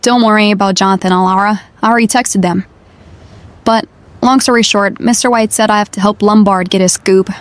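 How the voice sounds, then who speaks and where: A woman acts as a capable newspaper reporter in an audio drama. She has a mid-pitched voice and speaks in a brisk, sardonic, no-nonsense way. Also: fairly even intonation, close to an everyday voice. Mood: apologetic, brisk.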